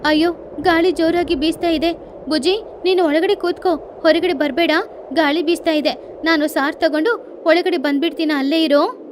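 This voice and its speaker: Mother bird acted by a woman for a storybook cartoon: high-pitched, devoted, earnest and protective. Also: steady tone, moderate pace, slight background noise. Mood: alarmed. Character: devoted, protective mother sparrow, poor but determined. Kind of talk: children's animated bedtime story dialogue. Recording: music behind the voice.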